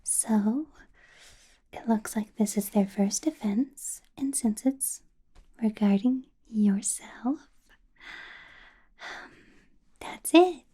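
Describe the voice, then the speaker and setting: A woman voicing a possessive ancient female vampire boss in a whispered ASMR roleplay, soft and doting with a quiet menace, close to the microphone. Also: fairly high pitch, drawn-out words, pauses often, breathy edge, full character voice. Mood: sweetly menacing.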